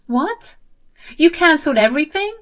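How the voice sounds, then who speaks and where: Woman narrator voicing a shrill, demanding sister-in-law, pitched well above her own voice, loud and lively. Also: moderate pace. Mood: shrill, outraged. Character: entitled, shrieking adult sister-in-law, a woman.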